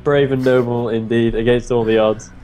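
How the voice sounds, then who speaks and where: A man's voice: medium pitch, level tone, normal speed, warm tone. British guy co-casting a game, wry and chatty, faint music behind. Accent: British English. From Britain. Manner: wry, self-mocking, chatty. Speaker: esports commentator. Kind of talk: casual co-commentary.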